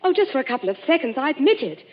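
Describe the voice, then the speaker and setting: A woman's voice: high voice, sing-song, rapid-fire. An actress playing a frightened young woman suspect pleading for help in an old radio drama, talking breathlessly. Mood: frantic. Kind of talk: 1940s radio acting. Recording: dull old radio broadcast, reverberant.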